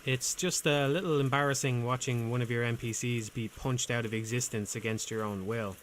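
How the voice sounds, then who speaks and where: Calm, soothing man narrating game commentary. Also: medium pitch, a little hiss or hum. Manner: calm, measured, dryly wry. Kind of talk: scripted voice-over commentary.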